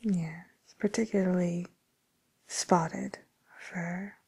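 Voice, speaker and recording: A woman playing a polite, tactful matchmaker for villains in a whispered ASMR role play, speaking breathily with gentle, hesitant pauses. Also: fairly low pitch, steady tone, gravelly, breathy edge, heavily acted. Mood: tactful, gently amused.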